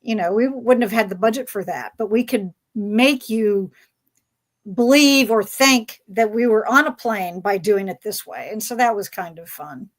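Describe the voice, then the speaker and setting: A woman's voice: mid-range voice, moderate pace. A woman speaking casually as a track director and moderator on a discussion panel.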